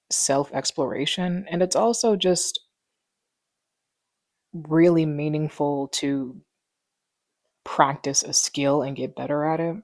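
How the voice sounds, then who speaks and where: Gravelly-voiced young woman chatting casually. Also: low-pitched, dry recording. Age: 20s. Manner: relaxed rambling, many fillers. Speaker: vlogger. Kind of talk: casual chat. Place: living room at home. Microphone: wireless clip mic.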